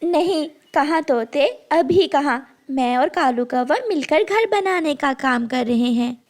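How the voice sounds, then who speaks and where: A woman voicing a hardworking, indignant little female bird in a children's cartoon, earnest and quick to scold. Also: high voice. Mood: friendly.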